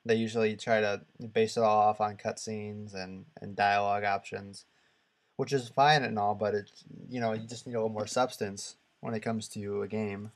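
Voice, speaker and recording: A man co-hosting a casual gaming podcast, talking in a halting, rambling and opinionated way. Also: lowish voice, level tone. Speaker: podcast co-host.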